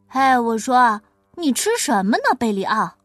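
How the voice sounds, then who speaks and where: A woman voicing eager young chicks in a cartoon dub, talking in a bright, excited way. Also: a little high, a little slow, no room sound, clean studio sound, faint music behind.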